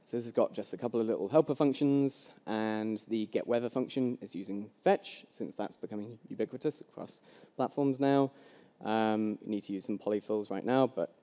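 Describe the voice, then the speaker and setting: Young man, low voice, echoey hall. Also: moderate pace, mellow. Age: young adult. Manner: casual, loose, many ums and restarts. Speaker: conference speaker. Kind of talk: live coding demo talk. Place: large hall with long reverb. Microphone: headset mic.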